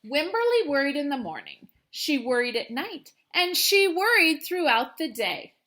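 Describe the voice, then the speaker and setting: A woman teacher reading a children's storybook aloud with expressive, emphatic storytelling. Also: high-pitched, moderate pace.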